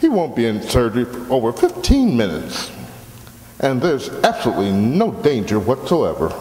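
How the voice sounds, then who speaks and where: A man's voice: mid-range voice, huge pitch swings, normal speed, mellow. A man playing a breezy, glib, reassuring surgeon in old-time radio comedy, heard in an echoey hall. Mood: blithe. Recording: echoey hall, long reverb, background noise.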